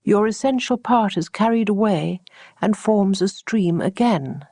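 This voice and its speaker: A woman voicing the mysterious desert sands in a storybook tale, calm, patient and certain as they instruct. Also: mid-range voice, unhurried, no room sound, studio quality. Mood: calm, knowing.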